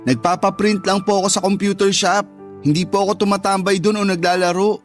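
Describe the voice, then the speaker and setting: A high-voiced Filipino teenage boy acted by a man, respectful yet defensive and pleading, as in a radio drama. Soft music runs underneath. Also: steady tone, fairly quick, close to an everyday voice. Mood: defensive, sheepish. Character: teenage student son, respectful but defensive. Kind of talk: Tagalog radio drama acting.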